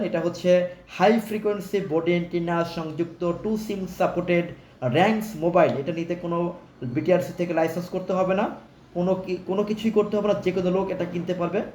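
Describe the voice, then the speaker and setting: A man with a Bangladeshi accent delivers an off-the-cuff live-stream sales pitch. His voice sits a little high, and he speaks politely, repeating his instructions to buyers. The sound is clearly echoey, with faint noise behind him. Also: a little mellow. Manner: repetitive, instructional, formally polite. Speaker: live-stream product seller.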